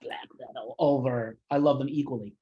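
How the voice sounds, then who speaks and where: A man interviews a guest over a somewhat dull, lo-fi call line, his voice a little husky. He rambles eagerly, sounding like a devoted fan. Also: mid-range voice, fairly even intonation, normal speed. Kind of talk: casual interview chat.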